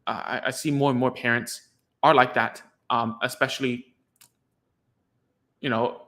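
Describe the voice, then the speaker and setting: A man's voice: medium pitch, steady tone, a little slow, a bit bright. A man whose first language is Cantonese, a speech therapist giving frank, rambling casual advice to parents, full of "like" fillers.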